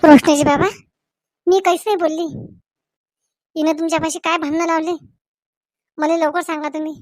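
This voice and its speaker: A woman's voice: very high-pitched, little pitch movement, moderate pace, darkish tone, no echo, clean studio sound. A woman voicing a combative, scolding village wife and mother-in-law in a comedy cartoon, with a Varhadi Marathi accent and melodramatic, exclamatory delivery. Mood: indignant, demanding. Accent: Varhadi Marathi from Vidarbha.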